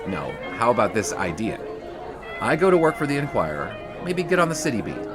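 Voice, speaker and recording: A man's voice: medium pitch, very animated, brisk, a crowd in the background, lightly acted. A man playing a wry young 1920s sportswriter. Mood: playful. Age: young adult. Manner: wordy, ornate narration; teasing banter. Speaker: student sports writer. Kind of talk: 1920s radio drama. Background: music.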